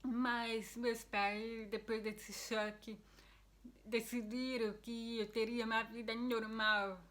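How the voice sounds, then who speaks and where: Young woman with a deaf accent. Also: fairly high pitch. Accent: Brazilian Portuguese. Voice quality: deaf speech, heard by others as a foreign-sounding accent. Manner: earnest, explanatory, with frequent restarts. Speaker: vlogger. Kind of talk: casual personal explanation to camera.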